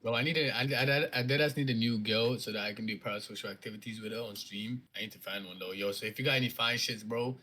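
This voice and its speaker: A man's voice: fairly low pitch, fast, hardly any pauses, sharp tone. A young man from Portugal, a streamer, bantering and roasting in slangy casual chat on a call.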